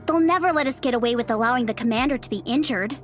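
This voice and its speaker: A woman playing a blunt, worrying young female soldier in a game, expressive and outspoken. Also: on the high side, fast, faint music behind, lightly acted. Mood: worried, puzzled. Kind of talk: dubbed game cutscene voice acting.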